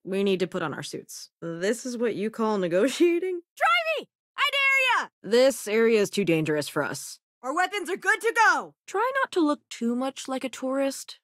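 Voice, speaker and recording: A woman's voice: high voice, wildly swooping pitch, normal speed, a bit bright, no echo, studio quality, full character voice. A woman voicing a sardonic, self-assured young female crewmate and researcher in a video game, with a casual, wry delivery. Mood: combat urgency.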